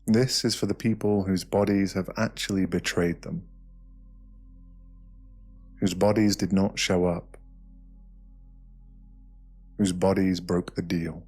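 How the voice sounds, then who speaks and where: A British man narrating an ASMR guided meditation in a slow, hushed, soothing way, with short phrases and pauses. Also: deep voice, steady tone, faint music behind.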